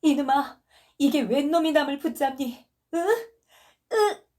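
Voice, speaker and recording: A woman's voice: sits high, expressive, takes it slowly, darkish tone, pitched above the performer's own voice. A shrieking, grief-crazed mother. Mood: desperate wailing. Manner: wailing, shrieking, sobbing. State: crying. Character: grief-crazed mother screaming for lost daughter.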